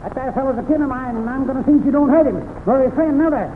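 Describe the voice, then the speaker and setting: A man's voice: very high-pitched, very fast. An actor playing a simple, good-hearted backwoods man with a humble, rambling drawl and rural American accent in an old radio western. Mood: bashful, firm. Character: simple, good-hearted backwoods country kinsman from a ghost town. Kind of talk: 1940s-50s radio western acting. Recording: tinny, noisy old-time radio recording.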